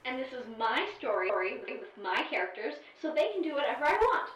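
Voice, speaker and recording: A woman's voice: sits high, sing-song, fairly quick, a bit bright. A woman voicing a snippy, teasing artificial intelligence companion in an audio drama, with sighs and dramatic emphasis. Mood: storytelling. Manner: sassy, sighing, dramatic emphasis. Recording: echoey, distant, dull-sounding voice.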